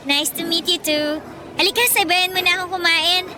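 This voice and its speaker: A woman's voice: very high-pitched, steady tone, moderate pace, pushed and bright, slight background noise. A woman playing a shy, naive and polite young college student in a radio drama, speaking hesitantly. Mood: shy, friendly.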